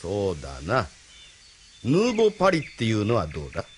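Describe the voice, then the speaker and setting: A man acting a genial, teasing older gentleman with a courtly, knowing manner in a Japanese audio drama. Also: fairly low pitch, huge pitch swings, a little fast, slight background noise. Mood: wry, genial.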